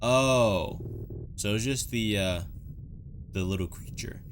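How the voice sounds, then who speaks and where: A man's voice: on the low side, slow pace, background noise. A man giving casual, conversational reaction commentary on game footage, with dryly joking asides. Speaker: host of a video reaction and analysis show. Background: background music.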